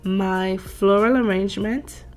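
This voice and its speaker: Slow, gracious woman DIY tutorial host. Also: mid-range voice, steady tone, soft background music. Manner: slow, measured and gracious, addresses viewers as darlings. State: congested with a cold. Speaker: DIY craft channel host. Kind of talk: tutorial narration. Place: home craft table.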